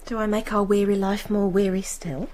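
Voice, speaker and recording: An actress playing a gentle, careworn young working woman in a radio drama, plaintive and reassuring. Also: medium pitch, level tone, normal speed, close to an everyday voice. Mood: wistful.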